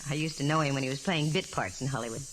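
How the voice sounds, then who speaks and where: An actress playing a wry, self-assured, quick-witted female private eye in a 1940s radio drama. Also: very deep voice. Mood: cool, casual. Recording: vintage 1949 radio recording, hiss.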